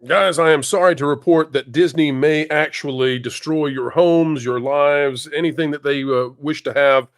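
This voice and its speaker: A man's voice: fairly high pitch, normal speed, few pauses. A man bantering casually as a panel guest in a teasing, mock-serious manner.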